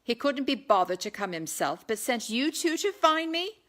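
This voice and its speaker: A headstrong young Englishwoman, as a woman novelist reads them. She argues earnestly and insistently, pitched above an everyday speaking voice, in a historical audiobook. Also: big pitch swings, normal speed, fairly bright tone. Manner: insistent, argumentative.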